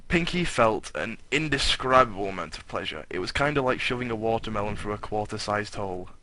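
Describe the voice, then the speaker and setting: Bright-voiced low-pitched man reading irreverently. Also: expressive, brisk. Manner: irreverent, foul-mouthed, breaks off to comment. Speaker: narrator of a fan fiction reading. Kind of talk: dramatic reading with casual banter.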